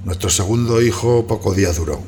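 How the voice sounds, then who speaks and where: Low-voiced rural man in a radio play, acted by a man. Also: fairly quick, dark tone, faint music behind, lightly acted. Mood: somber. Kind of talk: radio play acting and first-person narration.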